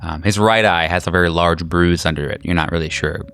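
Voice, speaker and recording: Male game master, low voice, casual halting descriptive narration for tabletop horror, faint music underneath. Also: vocal fry. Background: soft music.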